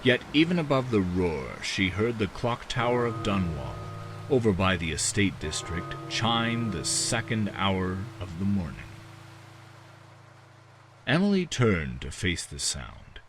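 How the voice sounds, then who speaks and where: A man reading a fantasy novel as a dramatic audiobook narrator, with measured, deliberate phrasing and dramatic pauses. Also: sits low, sing-song, music in the background.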